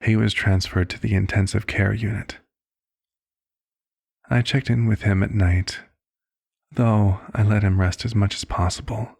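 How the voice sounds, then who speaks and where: A man playing a calm, caring vampire doctor in an ASMR roleplay, speaking in a soft, measured, reflective way. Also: fairly low pitch, vocal fry, no room sound, clean studio sound. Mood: somber, reflective. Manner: measured, hushed, reflective storytelling. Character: calm, caring male vampire doctor recounting a past case.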